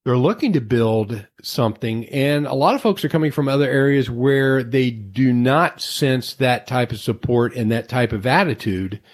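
A man's voice: mid-range voice, moderate pace, few pauses, slightly rough. An American man hosting a casual interview in a chatty, folksy way, rambling into asides. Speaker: interview host.

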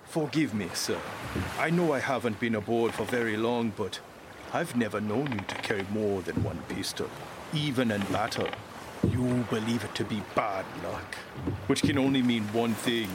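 A man's voice: mid-range voice, moderate pace, natural voice. Wary sailor, a man acting over noisy water. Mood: wary, questioning. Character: wary, outspoken sailor, newer crewman aboard. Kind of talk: audio drama acting. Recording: water and boat noise behind.

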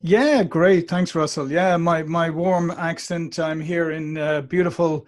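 A man in his forties with a Dublin Irish accent, chatting as a podcast guest over a remote call, animated and often restarting his sentences. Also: on the high side, a little slow. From Ireland. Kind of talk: conversational interview.

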